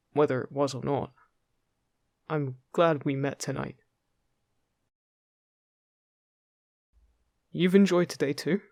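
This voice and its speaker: A man playing a friendly, upbeat fairy guide in an intimate fantasy roleplay, chatty, gentle and encouraging with the listener. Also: on the high side, no echo, clean studio sound. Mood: sincere, warm. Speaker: voice actor in an audio roleplay.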